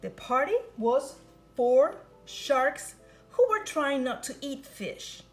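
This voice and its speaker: A woman reads a children's picture book aloud, her higher voice swooping up and down in a lively sing-song. She takes her time over every line, drawing out the telling. Soft music plays faintly behind her. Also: takes it slowly. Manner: expressive, sing-song storytelling. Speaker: storybook reader.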